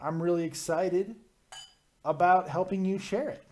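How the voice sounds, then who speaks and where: High-voiced man teasing his guest. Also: steady tone, unhurried, darkish tone. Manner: teasing, mock-serious roasting. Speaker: live stream host. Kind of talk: casual joking chat.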